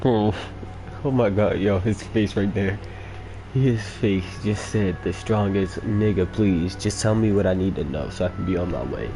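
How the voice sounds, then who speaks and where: Deep, mellow male gamer reacting casually. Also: moderate pace, a little hiss or hum, poor audio. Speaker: gamer commentator. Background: faint game music.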